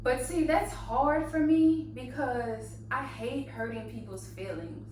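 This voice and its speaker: A woman's voice: sits high, slow pace, darkish tone. A woman of thirty co-hosting a casual podcast chat, blunt and teasing. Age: 30s. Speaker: podcast co-host. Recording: very echoey room, distant mic, hum.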